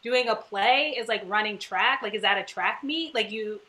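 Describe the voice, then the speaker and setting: A woman's voice: mid-range voice, moderate pace, pushed and bright. A woman actor in a casual panel conversation, explaining her craft in an emphatic, searching way. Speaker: stage actor in a cast discussion.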